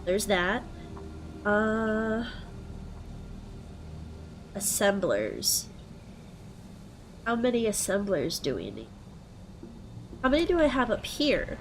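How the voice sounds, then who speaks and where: A young woman with a blocked, congested nose talks unhurriedly over her gameplay. She thinks aloud and fills her pauses with ums. Soft music plays faintly behind her. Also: medium pitch, very animated. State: congested with a head cold. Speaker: let's play host. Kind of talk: casual gameplay commentary.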